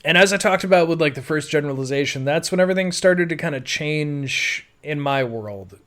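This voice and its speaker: A man's voice: fairly high pitch, fairly quick, fairly bright tone. A man telling his own life story in a casual, rambling, conversational audio-blog monologue, peppered with "like" and "you know". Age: adult. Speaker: podcaster.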